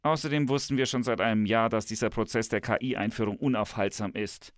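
A man playing a smug, tech-enthused professor in a scripted satirical dialogue, pompous and theatrical with drawn-out emphasis. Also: lowish voice, steady tone, no room sound, studio quality, natural voice. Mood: smug, visionary. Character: smug, tech-enthused male professor, grandly visionary and teasing.

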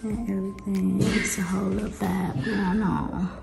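A woman in her thirties with a raspy voice, chatting casually and laid-back through a home vlog, sometimes dropping to a soft aside. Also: medium pitch, fairly even intonation, normal speed, echoey room. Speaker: home vlogger. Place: living room in a house. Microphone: phone camera held or set on a table. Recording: background music under the voice.

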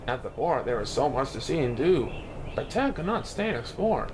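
A man reads a children's storybook aloud with a lively, swinging intonation. His pitch is medium and he stumbles on the odd word. The recording has clear background noise. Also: normal speed. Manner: animated storytelling, occasional stumbles over words. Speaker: storybook reader.

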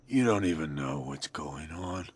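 An actor playing a defiant, battered older police commissioner held captive, growling huskily and straining in a video game. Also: male voice, very deep voice, expressive, a little slow, slight background noise. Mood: defiant, strained. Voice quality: husky, growling.

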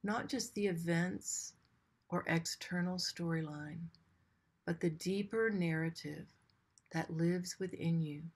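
A woman leading a guided meditation in a gentle, soothing manner, leaving long pauses between short phrases. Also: on the low side.

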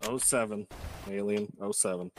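A man's voice: on the low side, moderate pace. A man improvising as a police officer in an online roleplay game, talking casually and asking questions over voice chat. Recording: faintly noisy gaming voice chat.

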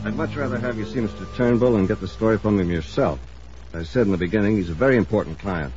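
A man's voice: on the low side, fast, over music, natural voice. An actor playing an evasive, persuasive insurance company man in a 1950s radio drama, businesslike and hedging. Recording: muffled old radio recording.

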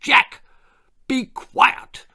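A man voicing an aristocratic father with a short temper, booming and growling orders in a storybook tale. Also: very slow, sharp tone, exaggerated voice. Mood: furious. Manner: roaring, growling, commanding.

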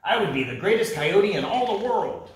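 Picture a vain, foolish coyote from a storybook, as put on by a man reading aloud. The voice jumps high and loud, swooping all over the place. It sounds roomy and distant, as if the mic sits across an echoing room. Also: moderate pace. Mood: eager. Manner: high, excited, loud squeals. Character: boastful foolish male coyote, eager and vain. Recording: echoey room, far from mic.

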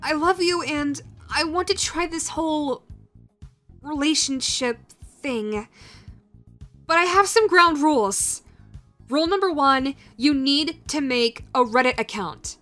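Woman acting as high-voiced, awkward, flustered young woman. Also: a bit bright, soft background music. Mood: hesitant, resolute. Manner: halting, nervous, stammering. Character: awkward, self-deprecating young meme-obsessed woman flustered by love. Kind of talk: scripted romantic comedy audio roleplay.

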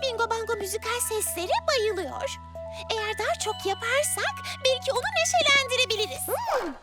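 An eager little cartoon girl with a very high voice. She is kind, upbeat and encouraging, and children's music plays loudly behind her. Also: expressive, a little fast. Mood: cheerful, encouraging. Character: eager, kind eight-year-old girl with magic wishes.

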